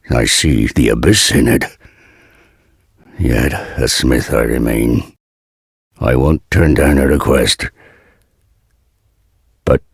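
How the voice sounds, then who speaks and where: Kindly old blacksmith, dark fantasy game. Extremely deep, gravel-throated and husky, archaic folksy speech, recorded close and dry. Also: male voice, no echo, full character voice. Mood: grave. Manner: slow, archaic, folksy phrasing. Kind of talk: dark fantasy video game character dialogue.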